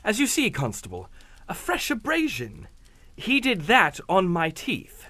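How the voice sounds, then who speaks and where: A man's voice: high voice, wildly swooping pitch, unhurried, bright, forceful tone, no room sound, heavily acted. An actor playing a sly, unflappable captain in a radio drama, suave and mocking, drawing out his insinuations. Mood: sly, insinuating. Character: sly, unflappable English captain, needling blackmailer.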